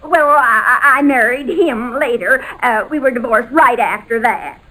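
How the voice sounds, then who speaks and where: A woman's voice: fairly high pitch, a little slow, pushed and bright, low-quality recording. An actress playing a coquettish married woman in a 1940s radio comedy, flustered, gushing and stammering as she fibs to a suitor.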